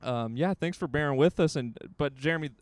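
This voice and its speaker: A man's voice: on the high side, fairly quick, dry recording. A young man co-hosting a podcast in casual, playful, rambling chat, recorded outdoors in a courtyard. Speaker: podcast co-host.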